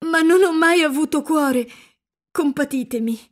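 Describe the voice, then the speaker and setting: A woman voicing a devout, tearful young village woman who speaks gently and pleadingly. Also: high-pitched, a little slow, pitched above the performer's own voice. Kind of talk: audiobook character reading.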